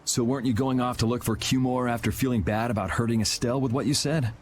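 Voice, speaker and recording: An actor playing a cool, wry young guild vigilante in a video game, speaking in a dry, casual, sardonic way. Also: male voice, mid-range voice, fairly even intonation, fast, slight background noise, close to an everyday voice. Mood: casual, probing. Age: young adult.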